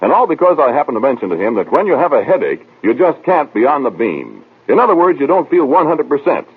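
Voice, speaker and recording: A man's voice: medium pitch, big pitch swings, fast, husky. A man working as a radio announcer, reading a sponsor spot in a folksy, polished 1940s commercial style. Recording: thin, narrow old radio broadcast.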